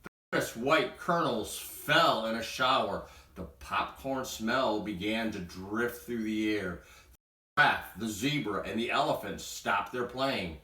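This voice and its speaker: Slow, bright-voiced man reading a bedtime story. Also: fairly low pitch. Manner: expressive, acts out sound words. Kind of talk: read-aloud children's storytelling. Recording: roomy echo, distant from mic.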